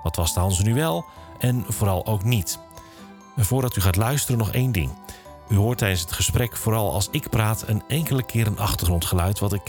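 Guy the hosting a podcast, lowish voice, friendly and informal, bit of music. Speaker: podcast host. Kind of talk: conversational podcast interviewing.

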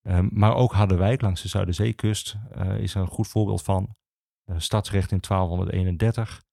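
Guy explaining history on a podcast, lowish creaky voice, lots of uhs and stops. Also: fairly even intonation, mellow, dry recording, studio quality. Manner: halting, hesitant, many filled pauses. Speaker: archivist, podcast guest.

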